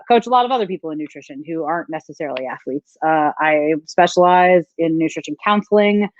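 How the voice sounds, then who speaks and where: A woman's voice: lowish voice, dry recording, muffled recording. A woman, a strongwoman and nutrition coach, talking thoughtfully with many fillers on a video call. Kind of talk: casual video call interview.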